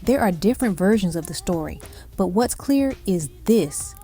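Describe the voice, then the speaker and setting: Mellow woman narrating a music countdown. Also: mid-range voice, expressive, moderate pace. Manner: evocative storytelling in short dramatic phrases.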